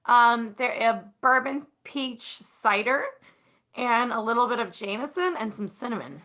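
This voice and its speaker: A woman's voice: a little high, expressive, a little slow, bright, forceful tone. A woman guest on a comedy podcast, playful and eager in casual banter.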